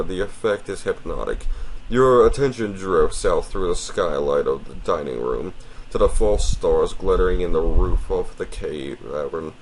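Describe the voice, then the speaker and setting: A man with a very deep, low voice hosts a casual let's play, reading game text aloud between his own remarks. He is courteous and a little apologetic, speaking to his viewer formally. A faint hiss runs under his voice. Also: low-quality recording.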